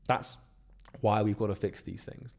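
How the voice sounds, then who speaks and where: Male instructor, mellow and dark tone, steady explanatory lesson delivery. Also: on the low side, a little slow, gravelly. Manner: steady, explains step by step with some repeated words. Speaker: course instructor.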